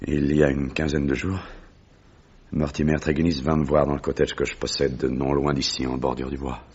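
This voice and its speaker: A man playing a grave, proud explorer haunted by lost love in a French radio drama, with a gruff, weighty, formal delivery. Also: extremely low pitch, level tone, normal speed, darkish tone. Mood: grave, sorrowful. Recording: dull, lo-fi old radio recording.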